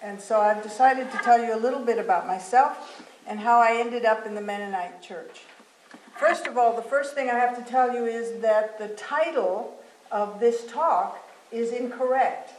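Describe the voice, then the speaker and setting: A woman's voice: on the high side, moderate pace. A woman from Venezuela, speaking as a guest and telling her life story in a rambling, anecdotal way. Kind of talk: personal storytelling talk. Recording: echoey hall with faint noise.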